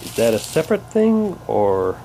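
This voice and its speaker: Guy with a soft dark voice doing a rambly hobby unboxing at home, bit of room noise on the camera mic. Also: mid-range voice, big pitch swings, normal speed. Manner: rambling, unscripted, trailing off and repeating himself. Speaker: hobbyist vlogger. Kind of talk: casual unboxing commentary, sometimes reading aloud. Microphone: handheld camera's built-in microphone.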